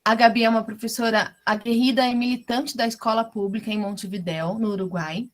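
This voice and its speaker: A woman moderating an academic book launch, introducing a guest speaker in a measured way with frequent tag questions. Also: on the high side, fairly even intonation.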